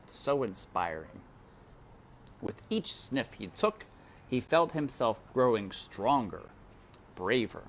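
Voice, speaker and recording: A woman narrating a children's novel aloud with expressive, deliberate, dramatic phrasing. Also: a bass voice, slight background noise.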